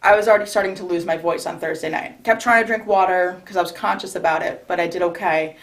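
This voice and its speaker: A woman's voice: on the low side, steady tone, quick pace, low-quality recording. A young woman, a recent bride from New York state, giving casual interview advice in a chatty way with frequent restarts. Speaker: recently married bride, guest.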